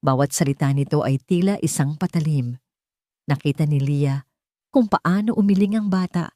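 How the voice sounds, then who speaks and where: A woman's voice: low voice, moderate pace, warm tone, no echo, clean studio sound. A woman with a Filipino accent reading a scripted dramatic story as an audiobook narrator.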